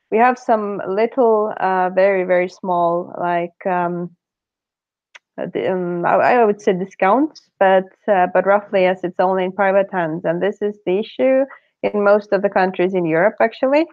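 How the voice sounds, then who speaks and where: A woman's voice: mid-range voice, no echo. Woman on a tinny video call. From Estonia. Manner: hesitant, many fillers and restarts. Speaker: panelist in an online meetup. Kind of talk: casual discussion. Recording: dry, phone-like video-call audio.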